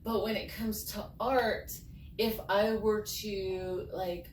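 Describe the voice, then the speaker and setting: A woman speaks slowly and earnestly, with expressive, thoughtful pauses. She sounds like a storyteller opening up. The recording is echoey and a little distant from the mic, with some noise behind her. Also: medium pitch. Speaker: fairy tale writer and storyteller. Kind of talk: heartfelt personal talk, then storybook reading.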